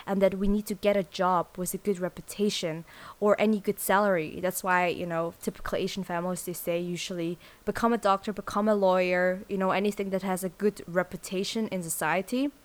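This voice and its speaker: Young woman, solo podcast host, earnest and chatty, mid-pitched voice. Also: steady tone, brisk. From born in South Korea, grew up in Switzerland. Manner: earnest, lots of "you know" fillers.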